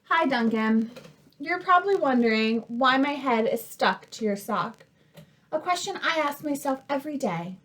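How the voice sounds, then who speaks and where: A woman's voice: high-pitched, lively intonation, moderate pace, fairly bright tone. A woman voicing a bewildered, wryly complaining crayon in a children's storybook. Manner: wry, complaining. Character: smelly, bewildered turquoise crayon stuck to sock.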